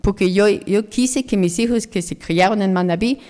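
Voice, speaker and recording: A woman's voice: medium pitch, normal speed, darkish tone, in a large hall. A middle-aged British woman from Jersey, speaking as an ecologist guest in a radio interview, earnest and reflective. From Jersey, United Kingdom. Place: radio studio.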